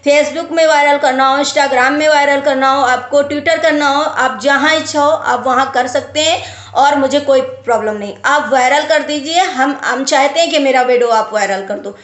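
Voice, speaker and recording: A woman's voice: high-pitched, normal speed. A woman vlogger talking casually to viewers and giving advice, explaining with emphatic repetition. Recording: roomy, echoey sound.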